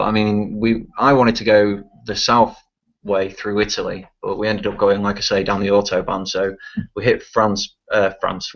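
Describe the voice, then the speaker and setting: A young man from England with a Manchester accent, telling a long travel story in casual, rambling, swearing chat. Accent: Manchester English. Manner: rambling and laughing, swears freely. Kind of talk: casual chat on a live stream. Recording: muffled low-fidelity stream audio.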